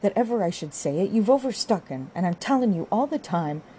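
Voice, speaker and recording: A woman voicing a brisk, hard, scornful young farm wife who scolds and orders in an audiobook. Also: sits low, lively intonation, mellow, a little hiss or hum. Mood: angry. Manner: scolding, bossy, rustic turns of phrase. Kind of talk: audiobook character dialogue.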